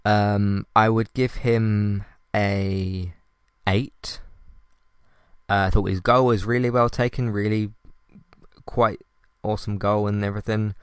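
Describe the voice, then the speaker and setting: A male podcast host with a calm, low voice, talking casually through his recent episodes and match ratings and often starting over or stumbling on fillers. His tone is smooth and resonant, and the recording is dry and close. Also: takes it slowly, pauses often, dry recording. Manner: calm, conversational, with frequent fillers and restarts. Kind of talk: solo podcast commentary.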